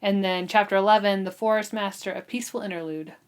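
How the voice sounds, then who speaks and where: Female podcast co-host, mid-pitched, informal and giggly, loosely recapping a novel in easy conversation. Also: steady tone, quick pace, clean tone. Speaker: book discussion podcast co-host. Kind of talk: casual chat, recapping a novel.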